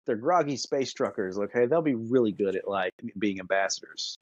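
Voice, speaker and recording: A man co-hosting a podcast, chatting casually and thinking out loud in a conversational way. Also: fairly low pitch, animated, moderate pace, breathy edge, no echo, highs cut off. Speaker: podcast co-host. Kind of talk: casual discussion.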